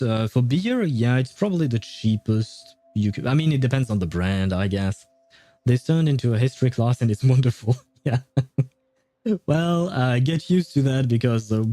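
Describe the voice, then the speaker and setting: A man's voice: medium pitch, warm tone. A young man chatting casually on a game livestream, thinking aloud and laughing often as he reads and reacts. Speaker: gaming livestreamer.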